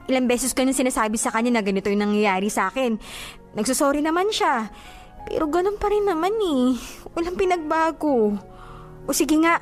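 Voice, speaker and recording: Jealous, hurt young Filipina, lively pitch. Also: female voice. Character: jealous, hurt young woman confiding about her boyfriend. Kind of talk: Filipino radio drama acting.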